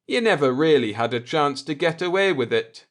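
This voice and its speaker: A man voicing a coolly vindictive spaceship navigator in an audiobook, deliberate and sardonic as he explains. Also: fairly high pitch, lively intonation, fairly bright tone. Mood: coolly triumphant.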